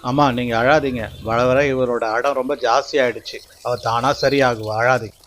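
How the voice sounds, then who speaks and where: A man's voice: medium pitch, fairly even intonation, normal speed, fairly bright tone, soft background music, lightly acted. A man voicing a patient, caring big brother duck in a children's cartoon, with a soothing, coaxing manner. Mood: pleading.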